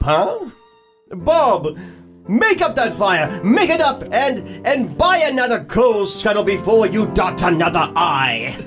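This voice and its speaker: Elderly reformed miser for audio drama: theatrical, exclamatory, slow-paced, wildly varied pitch. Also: male voice. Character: elderly reformed miser, giddy, jolly, generous old gentleman.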